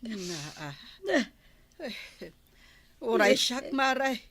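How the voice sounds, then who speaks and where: Actress playing rueful cheated pig raiser, Ilocano Filipino accent, bright highish voice, drawn-out sighing, radio comedy drama. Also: female voice, animated, heavily acted.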